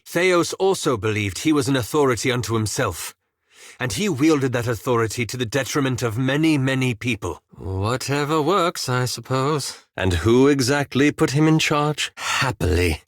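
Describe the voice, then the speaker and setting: An actor playing a cautious, scholarly elf wizard in a video game, speaking in precise, formal phrases with dry politeness. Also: male voice, medium pitch, no echo, natural voice. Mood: prim, gently scolding. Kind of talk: video game character dialogue.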